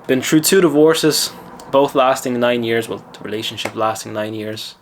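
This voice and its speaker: A man's voice: mid-range voice, little pitch movement, normal speed, a little hiss or hum. A man from Lithuania with an Irish accent, a mindset coach telling a gym story in casual talk and then giving self-assured advice. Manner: chatty, self-assured, lecturing.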